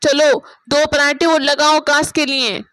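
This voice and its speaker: A woman's voice: high-pitched, level tone, measured pace, a bit bright, dry recording. A woman voicing a firm, kindly older mother-in-law with a motherly manner in a cartoon family story. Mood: reproving. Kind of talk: Hindi cartoon moral story acting.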